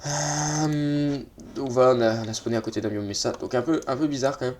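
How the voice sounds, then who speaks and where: A man's voice: medium pitch, steady tone, a little fast, darkish tone. A man with a slightly stuffy, congested voice giving casual, hesitant gameplay commentary, often muttering to himself. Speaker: let's play host. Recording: noisy mic with keyboard clicks.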